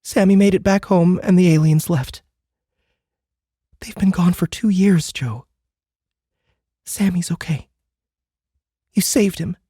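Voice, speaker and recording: A man's voice: sits high, slow, long pauses, warm tone, husky, no echo, studio quality, pitched above the performer's own voice, exaggerated voice. A man voicing a worried, emotional middle-aged mother in a sci-fi audiobook, pleading and intense. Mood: agonized.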